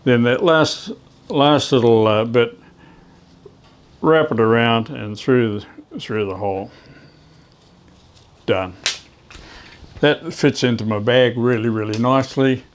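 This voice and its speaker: Male hobbyist presenter, medium pitch, casual practical demonstration with a hesitant, halting delivery. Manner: hesitant, halting, talking while working with his hands. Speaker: ham radio hobbyist presenting DIY tips. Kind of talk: hands-on how-to demonstration, casual.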